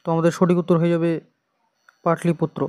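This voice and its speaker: Flat-toned exam teacher with Indian Bengali accent. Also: medium pitch, quick pace. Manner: instructional, repeats answers. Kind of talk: quiz lesson, reading questions and answers.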